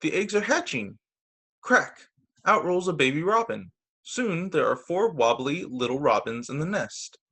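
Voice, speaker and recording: A man's voice: a little high, husky. Man reading storybooks aloud to children. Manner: expressive, deliberate phrasing for young children. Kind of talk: read-aloud storytime narration. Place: video call story session. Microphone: video call microphone.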